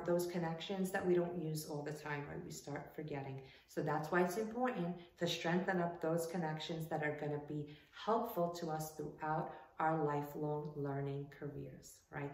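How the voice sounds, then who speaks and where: Friendly teacher checking in with "right?". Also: female voice, lowish voice, far from the mic. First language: Portuguese. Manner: keeps asking "right?" to check in with students. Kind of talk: at-home lesson for kids. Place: at home. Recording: echoey room, voice from off the mic, faint ambience.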